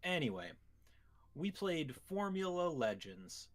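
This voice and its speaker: A man's voice: fairly high pitch, very animated, takes it slowly. Man chatting casually about video games. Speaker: co-host of a gaming show.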